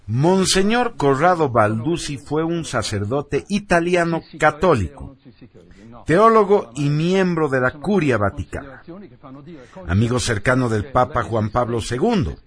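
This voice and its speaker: A man narrates scripted radio news as its presenter. His voice sits a little high for a man, and his intonation moves widely and animatedly through the reading. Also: animated, measured pace.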